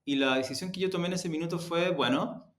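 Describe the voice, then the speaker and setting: A man answering interview questions in a reflective, halting way, repeating words for emphasis. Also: fairly high pitch. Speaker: executive interview guest. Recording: roomy, echoing sound.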